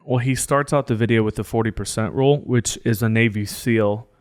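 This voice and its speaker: A man's voice: medium pitch, steady tone, brisk. A young man co-hosting a podcast, talking in an earnest, preachy, motivational way. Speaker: podcast co-host. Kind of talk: casual podcast discussion.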